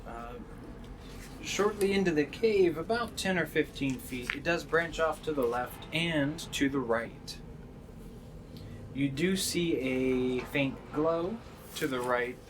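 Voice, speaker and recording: A man with a fairly high-pitched voice runs a tabletop game. He builds his descriptions haltingly and off the cuff as game master. There is clear noise behind him. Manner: halting, pieces descriptions together as he goes. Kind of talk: improvised tabletop game narration. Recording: clearly noisy room sound.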